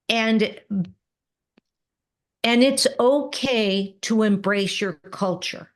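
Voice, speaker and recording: Middle-aged woman guest, slow and earnest, talks in loops with "right?" tags. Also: medium pitch. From Mexican-American background. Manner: earnest, repeats words, frequent "right?" tags. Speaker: podcast guest, business coach. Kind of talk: conversational interview.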